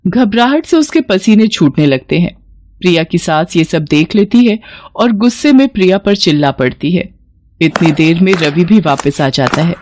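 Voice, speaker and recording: A woman's voice: fairly low pitch, animated, moderate pace, a little mellow, no echo. Woman narrator with a dry, close sound. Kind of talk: scripted story narration.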